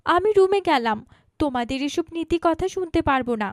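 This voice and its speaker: Woman acting a high-pitched, defiant teenage girl. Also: animated, fairly quick, no room sound, clean studio sound. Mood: indignant. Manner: argumentative, insistent. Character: defiant, headstrong eighteen-year-old modern daughter. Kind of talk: cartoon dialogue acting.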